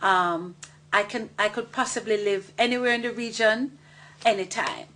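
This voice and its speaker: A woman born in Jamaica who speaks thoughtfully about her art initiative, pausing and restarting as she goes. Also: mid-range voice, sharp tone, a little hiss or hum. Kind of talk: reflective interview talk.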